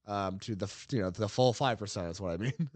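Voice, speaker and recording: A man's voice: lowish voice, brisk, breathy edge, no room sound. Guy rambling on a gaming podcast, lots of ums, dry close sound. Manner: rambling, lots of ums and uhs, laughs easily. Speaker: podcast co-host. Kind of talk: casual podcast chat about a game.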